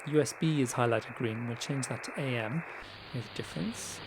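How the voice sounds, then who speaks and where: A man with a mid-pitched voice talks through a how-to tutorial in a casual, informal way. His delivery is conversational, with small hesitant asides. There is clear noise in the recording behind him. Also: moderate pace. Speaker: tutorial presenter. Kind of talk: casual screen-share tutorial narration.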